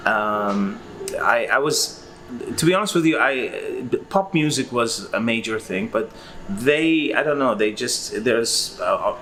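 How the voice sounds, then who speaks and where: A man's voice: medium pitch, animated, takes it slowly, a bit bright, a little hiss or hum. A man in his forties from Iraq, a record-collector guest who talks through his story in a rambling, hesitant way with lots of fillers. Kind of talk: casual interview answers.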